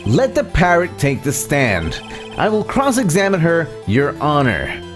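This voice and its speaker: A man's voice: on the high side, wildly swooping pitch, unhurried, over music. A man voicing an earnest, stubborn young defense lawyer in a courtroom video game, speaking insistently. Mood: determined. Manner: declarative, insistent. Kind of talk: voiced playthrough of a courtroom game.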